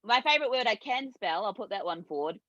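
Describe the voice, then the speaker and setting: A woman's voice: mid-range voice, expressive, quick pace, a bit bright, no echo. A woman, a contestant on a video call, speaking hesitantly with little giggles. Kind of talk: casual chat. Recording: dull, lo-fi call audio.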